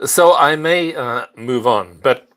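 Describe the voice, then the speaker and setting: An older man from South Africa, hosting a casual livestream and chatting earnestly with viewers. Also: mid-range voice. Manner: unhurried, earnest, warm greetings to viewers. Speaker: livestream host.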